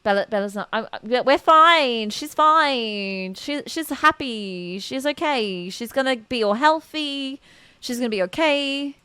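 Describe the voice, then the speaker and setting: A woman's voice: on the high side, animated, measured pace, sharp tone, clean tone. A woman streamer giving casual, chatty game commentary, emotionally invested and talking to her chat.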